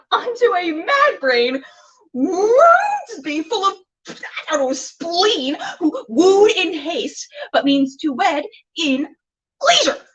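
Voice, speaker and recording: A woman performing a dramatic stage monologue as a sharp-tongued, aggrieved Shakespearean bride. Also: very high voice, pitched above the performer's own voice, exaggerated voice, low-quality recording.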